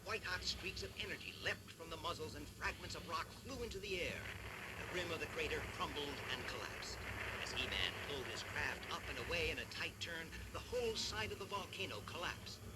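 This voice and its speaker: A man reading an adventure storybook aloud at home, in steady, measured narration. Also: a little high. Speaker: storyteller, channel host. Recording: loud hiss and background noise.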